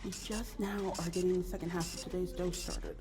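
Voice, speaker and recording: A woman playing a devoted, worried partner of a sick loved one, speaking tenderly and haltingly to the listener in an intimate ASMR roleplay. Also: on the low side, steady tone, natural voice. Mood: subdued, deferential. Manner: tender, soothing, halting and reassuring.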